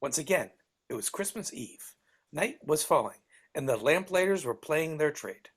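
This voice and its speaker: A woman plays a mild-mannered storybook narrator in a live reading. Her voice is low and husky, moving expressively through each scene. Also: expressive, normal speed. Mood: measured storytelling. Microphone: video call.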